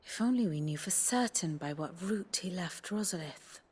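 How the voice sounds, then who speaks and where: An actress with an English accent playing a poised, dry fantasy strategist in a game, formal and composed. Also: female voice, on the low side, lively intonation, moderate pace, a little mellow, husky. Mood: frustrated, composed. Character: poised, dryly teasing female fantasy strategist. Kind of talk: video game drama acting.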